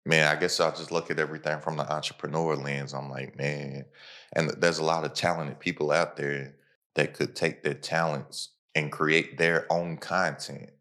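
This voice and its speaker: A man with a low-pitched voice hosts an entrepreneurship-style podcast. He talks informally and with slang, in a motivational manner, addressing listeners directly. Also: level tone, moderate pace, sharp tone.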